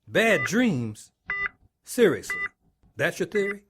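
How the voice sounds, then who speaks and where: An actress playing a brusque, skeptical police lieutenant in an audio drama, blunt and sardonic. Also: female voice, fairly low pitch, big pitch swings, slow. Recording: clearly noisy background.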